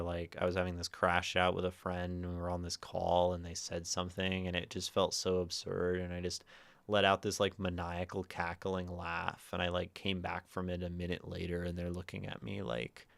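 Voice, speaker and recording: Man, deep voice, gravelly fry, soft meandering stream chatter, close mic. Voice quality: naturally low voice. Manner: soft, meandering, lots of fillers; prefers talking quietly and a little higher. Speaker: livestream host. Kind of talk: casual livestream chat.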